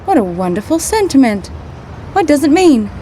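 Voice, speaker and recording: An actress playing a plucky, wry young grad student with breezy, slangy period talk, in old-time radio drama style. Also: female voice, high voice, big pitch swings, moderate pace, mellow, slight background noise. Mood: friendly.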